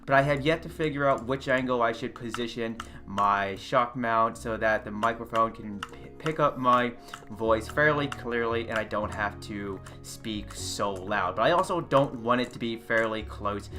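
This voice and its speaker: Loud, bright-voiced man narrating gameplay. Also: medium pitch, normal speed, faint music behind. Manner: loud, chatty, self-correcting. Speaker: gaming channel host. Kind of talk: casual game commentary. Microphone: desk USB condenser mic.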